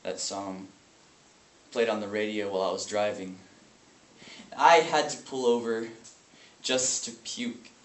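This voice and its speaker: A man performing a dramatic stage monologue as a grieving, traumatized brother, anguished and bitter, speaking in halting phrases with heavy pauses. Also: lowish voice, far from the mic, pitched below the performer's own voice, lightly acted. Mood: bitter, haunted. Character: grieving, traumatized brother bitter about his abusive family. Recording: echoey room with faint noise.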